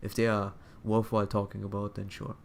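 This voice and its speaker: A man giving casual commentary as a streamer, halting and repeating his phrases as he thinks aloud. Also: fairly low pitch, monotone, gravelly, a little hiss or hum. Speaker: streamer reacting to another stream.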